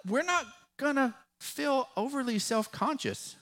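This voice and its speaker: A man with a West Texas drawl preaching a sermon as pastor, folksy and animated, with a touch of humor. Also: extremely high pitch, measured pace.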